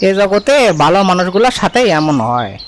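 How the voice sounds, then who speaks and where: A man's voice: high-pitched, very animated, brisk. A man playing a chatty, folksy village tea-stall keeper in a cartoon, passing on local gossip. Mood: gossipy, sympathetic.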